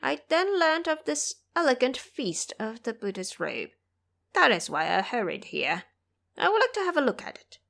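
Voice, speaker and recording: A woman's voice: high-pitched, very animated, moderate pace, vocal fry, a bit bright, heavily acted. A woman voicing a shapeshifting male monkey king who poses as an elderly monk and speaks humbly and politely, in a storybook reading.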